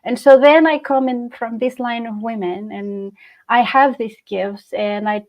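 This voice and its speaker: A woman's voice: fairly high pitch, steady tone, measured pace, low-quality recording. A woman in her forties from Chile, a Spanish speaker, chatting casually as an interview guest. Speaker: interview guest, hypnotherapist and channeler. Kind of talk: casual personal storytelling.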